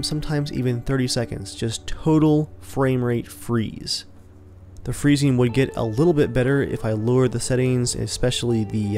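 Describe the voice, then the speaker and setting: A man talking casually as the host of his gaming channel, rambling in a relaxed, conversational way. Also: mid-range voice. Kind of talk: casual gameplay commentary.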